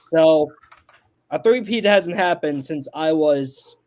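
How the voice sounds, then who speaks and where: A man's voice: a little high, lively intonation, slow, a little mellow. A man in his twenties on a sports podcast, making his points emphatically and repeating them for stress. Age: 20s. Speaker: podcast panelist. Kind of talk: casual sports debate.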